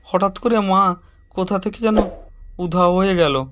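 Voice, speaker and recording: High-pitched man narrating a ghost story. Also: measured pace. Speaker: narrator of animated horror story. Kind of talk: first-person ghost story narration with acted dialogue. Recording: music behind the voice. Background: music.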